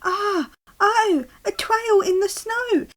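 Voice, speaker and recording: A woman voicing a young female monster child in a storybook reading, with a high, eager voice full of curiosity. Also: takes it slowly, fairly bright tone, full character voice. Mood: curious.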